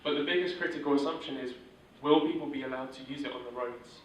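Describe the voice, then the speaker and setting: A man leading a startup workshop, explaining principles in an informal, steady way with fillers. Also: medium pitch, fast, a little hiss or hum. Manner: steady, monotone delivery with filler words. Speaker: workshop instructor. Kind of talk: informal teaching talk. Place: large echoey room. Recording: echoey room from far off.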